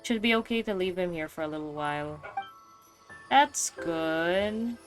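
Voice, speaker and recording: A woman's voice: lowish voice, a little slow, music in the background. A woman giving casual playthrough commentary and reading game text aloud, slangy and joking. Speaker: game streamer.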